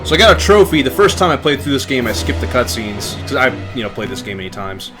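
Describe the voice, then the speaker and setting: A man's voice: medium pitch, sing-song, quick pace. Male streamer commenting over game music. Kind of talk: casual playthrough commentary. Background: video game audio.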